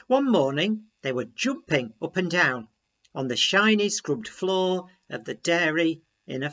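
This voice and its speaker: A man reading a children's story aloud in a deliberate, expressive storytelling manner. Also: a little high, muffled recording.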